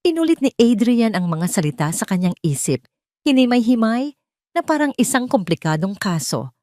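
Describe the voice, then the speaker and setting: A woman's voice: on the high side, big pitch swings, normal speed, a little mellow, no room sound, clean studio sound. A woman with a Filipino accent narrating a scripted dramatic story.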